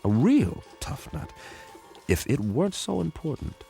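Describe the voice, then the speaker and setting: An actor playing a weary, driven government codebreaker in a radio drama, frank, emphatic and wry. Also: male voice, on the high side, sing-song, measured pace, gravelly, warm tone, soft background music, heavily acted.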